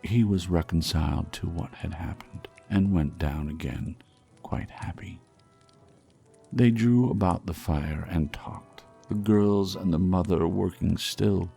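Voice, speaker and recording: A man reading a classic tale aloud as a dramatic storyteller, in a measured, solemn manner. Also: low voice, gravelly, mellow, faint music behind. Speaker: audiobook reader.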